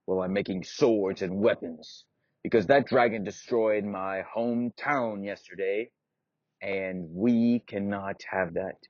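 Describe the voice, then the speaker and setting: A man doing a gruff, determined blacksmith. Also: fairly low pitch, slow, a little mellow, dry recording. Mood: angry. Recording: dull lo-fi recording.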